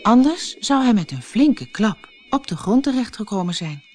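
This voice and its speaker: A woman's voice: a little high, very animated, moderate pace, music in the background. A woman narrating a children's fairy tale as an audiobook, with dramatic, carefully phrased storytelling.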